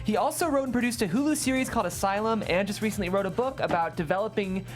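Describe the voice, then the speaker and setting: A woman hosting and narrating, speaking in a clear presenter's way as she reads or introduces. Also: mid-range voice, fast, soft background music. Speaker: host and stage-directions narrator. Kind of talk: scripted narration and interview hosting.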